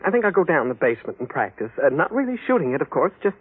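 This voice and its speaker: An actor playing a scheming double posing as a loving husband in a classic radio drama, suave with calculating pauses. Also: male voice, a little high, big pitch swings, slightly rough, no echo. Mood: falsely casual. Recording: tinny old radio recording.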